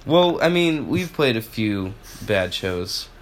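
A man co-hosting a podcast, chatting casually in a joking, sarcastic way and quick to laugh. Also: lowish voice, very animated, rough recording. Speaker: podcast co-host. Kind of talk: casual chat.

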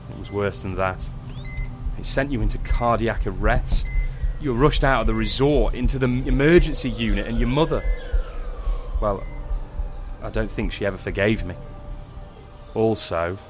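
An actor playing a guilt-ridden scientist, speaking haltingly and gravely in a science fiction audio drama. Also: male voice, on the low side, natural voice. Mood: grave, regretful. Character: guilt-ridden male scientist confessing a secret. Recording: music under the dialogue.

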